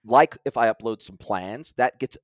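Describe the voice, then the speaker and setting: Male interview guest, mid-pitch, long technical explanations with frequent restarts, dry close recording. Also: normal speed, no echo. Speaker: guest expert. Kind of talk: conversational interview.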